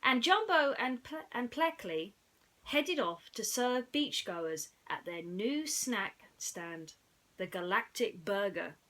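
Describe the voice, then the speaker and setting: Woman, children's storyteller: very lively intonation, bright delivery, playful and theatrical with chatty asides. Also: mid-range voice, slow pace. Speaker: children's bedtime story reader.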